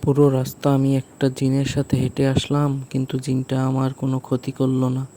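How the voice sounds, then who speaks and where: Man as a mellow, even-toned village husband. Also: medium pitch, normal speed, a little hiss or hum, natural voice. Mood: worried, alarmed. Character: village husband recounting his eerie night walk. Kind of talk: first-person cartoon story narration.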